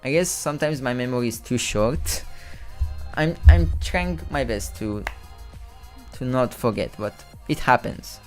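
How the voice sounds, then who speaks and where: A man's voice: mid-range voice, animated, measured pace, darkish tone, faint music behind. A young man from Romania with a Romanian accent, whose first language is Romanian, hosting a casual live stream with rambling, self-correcting commentary and some stumbles over words.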